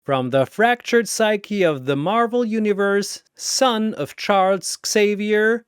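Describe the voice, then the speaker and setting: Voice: takes it slowly, clear, resonant tone, no room sound, clean studio sound. A narrator and arena announcer delivering dramatic scripted battle storytelling in a theatrical manner. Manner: theatrical, booming announcements alternating with hushed storytelling.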